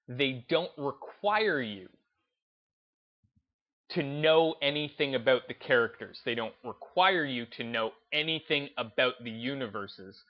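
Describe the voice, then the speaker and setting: Male commentator, mid-pitched voice, emphatic, deliberate, repetitive phrasing, opinionated, muffled lo-fi recording. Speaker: gaming video essayist and host. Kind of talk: opinionated commentary, unscripted talk to listeners.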